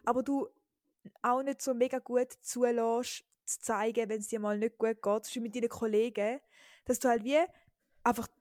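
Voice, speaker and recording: Young Eastern Swiss woman, podcast co-host, slightly high voice, chatty and giggly, dry close mic. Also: little pitch movement, normal speed, darkish tone, no echo. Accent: Eastern Swiss German. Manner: chatty, giggly, full of fillers. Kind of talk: casual chat.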